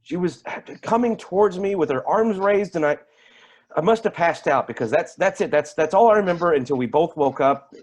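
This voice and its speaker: An actor playing a rattled, defensive man giving halting, emphatic testimony in a dramatic staged reading. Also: fairly high pitch, close to an everyday voice. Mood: tense recounting. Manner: halting, emphatic, repeats himself. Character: rattled, defensive man testifying about an eerie deer encounter.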